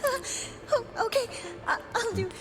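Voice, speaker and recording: A woman's voice: very high-pitched, normal speed, over music, rough recording. A woman playing a frightened, timid young student held hostage in a video game, stammering and tearfully pleading. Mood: terrified, pleading.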